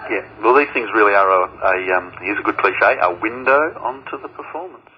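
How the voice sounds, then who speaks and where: A man's voice: on the low side, expressive, brisk. A man chatting casually as a guest in a radio interview, informal and wry. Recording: dull lo-fi radio audio with noise.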